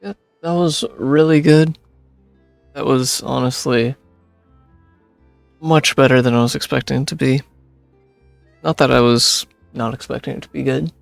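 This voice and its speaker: A man with a medium-pitched, creaky voice comments casually on a game. His reactions are informal and offhand, recorded close and dry with faint music underneath. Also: fairly even intonation, measured pace, long pauses, no echo. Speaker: game streamer.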